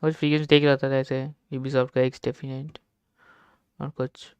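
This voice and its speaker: A young man with an Indian accent chats casually as a game streamer. His voice is exceptionally smooth and clear and very rapid, close and dry on the microphone. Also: mid-range voice, little pitch movement, no room sound, muffled recording. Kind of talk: casual live-stream commentary.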